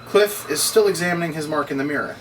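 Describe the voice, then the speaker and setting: A man's voice: on the high side, fast. A man reading stage directions as scripted narration at a table read. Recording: distant mic, noisy room ambience.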